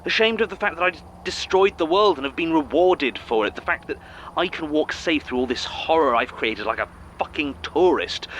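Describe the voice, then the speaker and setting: A man playing an anguished, guilt-ridden character in an audio drama, halting, intense and brooding. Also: a little high, a bit bright, long reverb tail, slight background noise, rough recording. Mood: bitter self-loathing.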